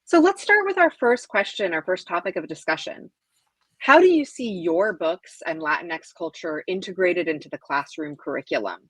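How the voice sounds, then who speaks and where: A woman with a lowish voice hosts an online panel, reading introductions and questions in a clear, orderly way. Her voice sounds dry and close to the mic. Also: expressive, normal speed, a bit bright, dry recording, poor audio. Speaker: panel moderator and author. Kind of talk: hosting a panel discussion.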